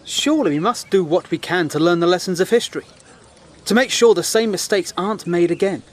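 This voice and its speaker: A man plays a British newspaperman of the Great War in an audio drama. His voice sits fairly high for a man, and he speaks with earnest, articulate scepticism and a dry, challenging edge. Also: fairly quick, close to an everyday voice. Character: principled, sceptical British First World War correspondent. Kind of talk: period audio drama acting.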